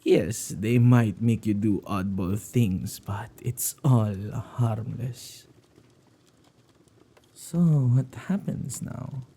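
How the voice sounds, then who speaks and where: A man playing a playfully menacing, possessive male witch in an ASMR roleplay, with a dark, gravelly, husky voice that often drops to whispers and a teasing, drawn-out delivery. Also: lowish voice, full character voice. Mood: playful, coaxing. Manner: teasing, unhurried, with drawn-out words and hesitant repeats.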